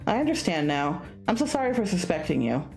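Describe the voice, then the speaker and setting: Woman as a hesitant young male student, animated. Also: fairly low pitch, brisk, gravelly, faint music behind. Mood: respectful, sincere. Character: young male student, curious, hesitant, polite narrator-hero.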